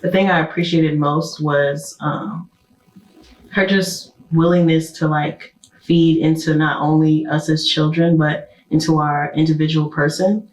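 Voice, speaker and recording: A woman's voice: sits low, flat delivery, a little slow, reverberant, poor audio. A twenty-five-year-old woman speaking reflectively in a casual discussion, with frequent "like" and "you know".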